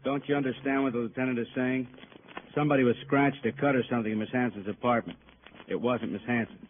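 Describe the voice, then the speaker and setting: Casting: man acting as brisk police sergeant, quick and insistent, noisy vintage radio crime drama. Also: mid-range voice, fairly even intonation, narrow, tinny audio, close to an everyday voice. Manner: insistent, matter-of-fact. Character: brisk, pressing male police sergeant questioning a suspect.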